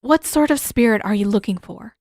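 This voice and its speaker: Woman playing a skeptical, high-pitched young daughter. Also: lively intonation, fairly quick, darkish tone, no echo, studio quality. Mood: curious.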